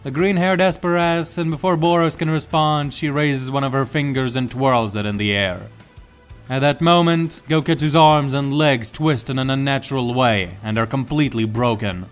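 A man's voice: mid-range voice, moderate pace, soft background music. A man reading scripted, dramatic story narration as the narrator.

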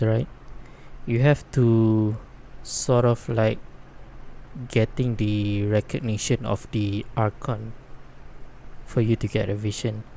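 A man's voice: on the low side, gravelly, dark tone, slight background noise. A man giving casual reactions while playing a game. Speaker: gamer commenting on playthrough.

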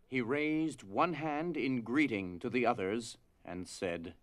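A man's voice: medium pitch, takes it slowly, dry recording. A man narrating a scripted story for an audio drama.

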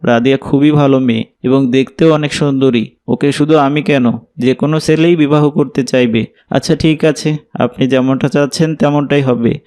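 A man's voice: mid-range voice, fairly even intonation, normal speed, a little mellow, close to an everyday voice. A man playing a polite, pious and earnest young imam in a cartoon drama. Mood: modest, agreeable.

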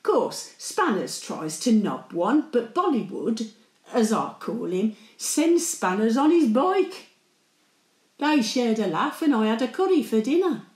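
An elderly working-class Birmingham great-grandmother in a dramatic monologue. Her voice is fairly high and very lively in pitch, as she rambles along cheekily and fondly with her gossip. It sounds roomy and echoing. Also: a little slow. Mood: pleased, chatty. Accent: Birmingham (Brummie). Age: older. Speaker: actor performing a dramatic monologue. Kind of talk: chatty character monologue.

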